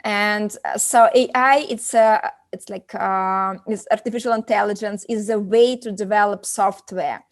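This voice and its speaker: A woman's voice: mid-range voice, steady tone, measured pace, hardly any pauses. A woman from Russia with a Russian accent, answering as an interview guest in a conversational way, often repeating and rephrasing as she explains. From Moscow, Russia. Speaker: interview guest, company founder and doctor.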